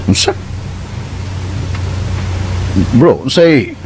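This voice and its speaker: An actor playing a concerned, puzzled office boss in a radio drama, speaking conversationally with a Cebuano Filipino accent and some English. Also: male voice, mid-range voice, sing-song, moderate pace, music in the background, poor audio. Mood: troubled, puzzled. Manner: earnest, conversational, code-switches into English.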